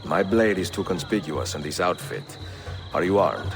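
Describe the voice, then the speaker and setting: Older Italian assassin, deep voice, Italian accent, wry, measured, composed, game dialogue, music behind. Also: male voice, animated.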